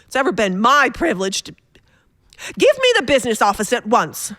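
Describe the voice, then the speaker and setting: A woman's voice: on the high side, very animated, a little fast, a bit bright, dry recording, clean studio sound. A woman playing an imperious, self-pitying bedridden woman on the edge of hysteria in a radio thriller. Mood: haughty, outraged. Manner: imperious, hysterical, haughty, breathless pleading. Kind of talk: old radio thriller acting.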